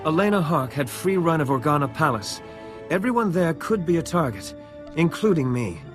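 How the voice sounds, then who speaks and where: Higher-voiced, concerned male diplomat. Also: big pitch swings, normal speed, over music. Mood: worried. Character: anxious formal noble diplomat, chief negotiator. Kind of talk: video game cutscene acting.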